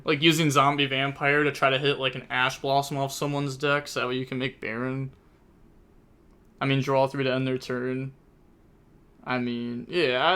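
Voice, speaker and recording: A man's voice: medium pitch, bright, forceful tone, clean tone. A young man casually commentating a card game tournament on his live stream, chatty and rambling as he thinks aloud.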